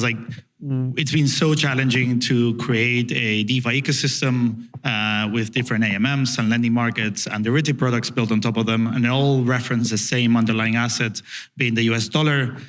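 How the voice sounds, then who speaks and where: A man's voice: medium pitch, steady tone, moderate pace, a bit bright. A man hosting a conference panel, upbeat and friendly as he introduces the speakers and asks questions. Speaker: panel host.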